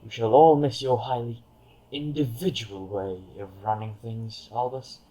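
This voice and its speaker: A man's voice: medium pitch, steady tone, a little slow, dark tone, close to an everyday voice, low-quality recording. A man playing a haughty aristocratic villain in a fan audio drama, with a silky, formal, condescending manner. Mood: smug menace. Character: haughty aristocratic male villain, coldly smug.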